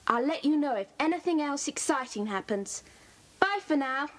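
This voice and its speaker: A young British schoolgirl in a children's drama. The voice is high, with an earnest, efficient manner. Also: lively intonation, normal speed, a little hiss or hum. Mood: earnest reporting. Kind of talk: children's audio drama acting.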